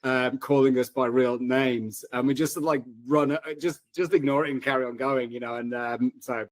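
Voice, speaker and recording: A man chatting casually as a co-host, wry and a little halting in his delivery. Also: mid-range voice, steady tone. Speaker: podcast co-host. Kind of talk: casual chat.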